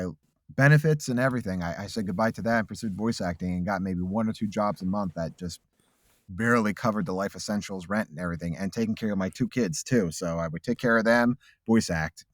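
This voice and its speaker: A man's voice: fairly low pitch, no echo, clean studio sound. A man in his late thirties, a voice actor, telling his story in a casual interview, earnest and reflective with frequent restarts. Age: late 30s. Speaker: voice actor guest.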